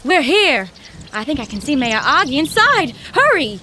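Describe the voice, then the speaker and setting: A bright, high-voiced small-town woman, acted by a woman for an audio drama. She is plucky and folksy, with old-fashioned slang and a very animated melody, over faint background noise. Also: moderate pace, full character voice. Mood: breezy, teasing. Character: plucky, brisk small-town woman, Sonya, loyal but alarmed.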